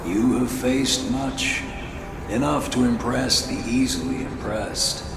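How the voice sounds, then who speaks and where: Slow, echoing old scholar of dark secrets. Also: male voice, mid-range voice, expressive, music in the background. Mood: cold, foreboding. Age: older. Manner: grave, deliberate, theatrical. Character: cryptic, menacing, scholarly old male lost warrior spirit. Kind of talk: scripted dramatic game voice acting. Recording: roomy reverb, noisy game ambience.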